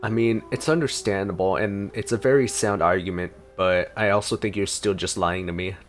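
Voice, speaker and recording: A man's voice: fairly low pitch, moderate pace, faint music behind. A man giving casual, blunt gameplay reaction commentary as a streamer, partly muttering to himself. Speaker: gaming streamer.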